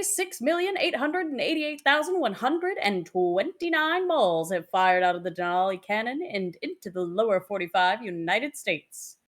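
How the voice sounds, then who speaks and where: A woman narrator reading a scripted sports guide aloud as narration. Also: fairly high pitch.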